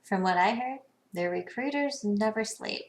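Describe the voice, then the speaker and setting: An actress playing a poised, worldly corporate professional in a video game, speaking in a knowing, conversational way. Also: female voice, medium pitch, expressive, normal speed, lightly acted. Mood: assured. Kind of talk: video game dialogue lines.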